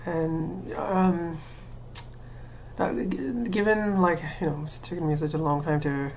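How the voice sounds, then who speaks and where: A woman with a low, deep voice speaks to her camera in a loose, thinking-aloud monologue. She hedges and restarts often, leaning on "um" and "you know". A faint hiss sits under the home recording. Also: slow pace, vocal fry, a little mellow. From Sri Lanka. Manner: hesitant, many fillers and restarts, laughs self-consciously. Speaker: vlogger. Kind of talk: casual personal monologue.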